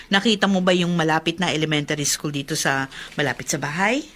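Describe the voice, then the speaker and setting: A woman with a Filipino accent playing a caring, gentle adoptive mother in a radio drama. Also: low-pitched, fast talker.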